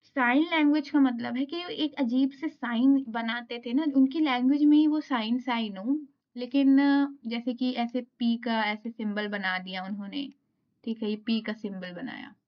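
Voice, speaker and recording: Woman, Indian accent, teacher, reads notes then explains, fairly high voice, muffled sound. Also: steady tone. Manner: explains, reads English notes slowly, then repeats them. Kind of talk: exam lecture, reading notes aloud and explaining.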